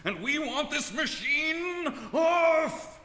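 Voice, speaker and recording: A man's voice: very high voice, lively intonation, very slow, heavily acted. A man playing a blustering, pedantic philosopher in a live radio comedy, pompous and prone to correcting himself. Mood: indignant, demanding. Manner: hesitant self-corrections between loud pompous demands. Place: indoor performance space with audience. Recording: echoey hall, faint noise, live.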